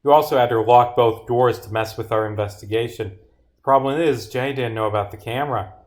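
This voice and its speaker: A man's voice: medium pitch, lightly acted. Man acting as an earnest, accusing detective. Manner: dramatic, deliberate accusing delivery. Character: earnest detective accusing a killer, solemn and dramatic.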